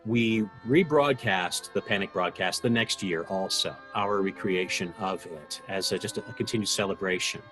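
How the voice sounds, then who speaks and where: A man's voice: low-pitched, moderate pace, hardly any pauses, a bit bright, faint music behind, poor audio. A man being interviewed, looking back reflectively on his experiences. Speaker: radio drama participant being interviewed.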